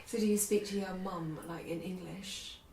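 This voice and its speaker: A woman's voice: on the low side, fairly even intonation, moderate pace, vocal fry, a little mellow. A woman interviewer asking short, hesitant questions in a casual chat. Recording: roomy, distant, faint hiss.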